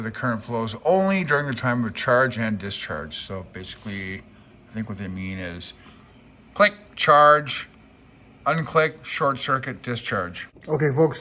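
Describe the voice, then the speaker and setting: Bright, forceful man rambling casually. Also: mid-range voice. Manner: rambling, folksy, self-deprecating, unsure. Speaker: amateur radio hobbyist video host. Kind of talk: casual unscripted vlog explanation.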